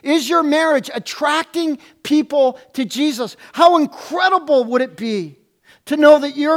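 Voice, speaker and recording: High-voiced guy preaching loud and emphatic, lots of repeated questions, bit of joking. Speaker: pastor. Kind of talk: sermon.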